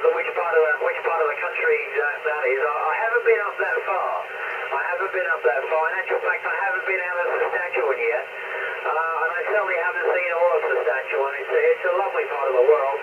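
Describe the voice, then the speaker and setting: A British man with a fairly high voice talks over ham radio, his signal distant and buried in loud static. He rambles casually, with hesitations, and hands back with "over". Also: fast talker, narrow, tinny audio. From United Kingdom. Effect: shortwave radio transmission. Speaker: amateur radio operator. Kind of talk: casual radio chat, ending turns with "over".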